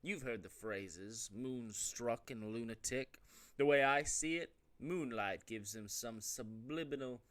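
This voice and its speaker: Man casually commentating on a video game. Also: fairly low pitch. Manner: rambling, jokey asides between readings. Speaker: host of a gaming show. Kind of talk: casual let's-play commentary, reading game text aloud.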